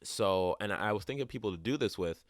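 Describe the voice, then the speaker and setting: A man's voice: fairly low pitch, lively intonation, fast, no room sound, clean studio sound. A young man hosting his own podcast, talking casually and rambling with restarts, a little stuffed up and sniffly. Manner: rambling, many restarts and fillers. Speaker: podcast host. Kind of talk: casual solo podcast talk. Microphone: close podcast mic.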